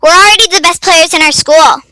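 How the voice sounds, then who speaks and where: A woman's voice: extremely high pitch, fairly quick, pushed and bright, no echo. A woman playing a boastful, vain young stepsister in a readers theater play.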